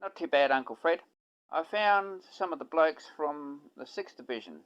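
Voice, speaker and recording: A man's voice: on the high side, animated, normal speed, a bit bright, highs cut off. A man playing a dry, understated returned soldier and dutiful son in an amateur radio play. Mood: polite, teasing.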